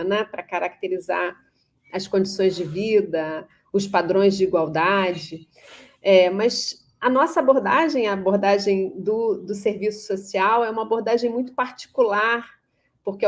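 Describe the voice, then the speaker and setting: Brazilian woman, panel moderator, mid-range voice, informal but academic, reflective, feels her way to the right word. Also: medium pitch. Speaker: moderator of an online course panel.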